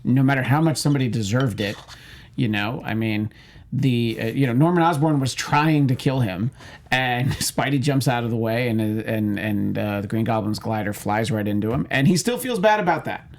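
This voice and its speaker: Gravelly, wry male panelist. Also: medium pitch, moderate pace. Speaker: panelist on a movie news show. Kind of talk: casual opinionated discussion.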